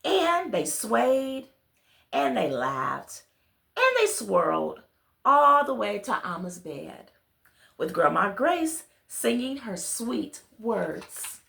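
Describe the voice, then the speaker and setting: A woman reading a children's picture book aloud, expressive, speaking directly to her young listeners. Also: fairly high pitch, takes it slowly, gravelly, echoey room. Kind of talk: children's read-along with friendly asides to listeners.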